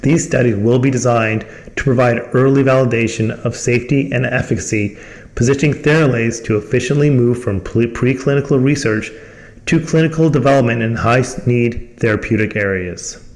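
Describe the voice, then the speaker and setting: A man's voice: medium pitch, normal speed. A man reading a scripted company presentation in a formal manner as the host. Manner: formal, reading from prepared text.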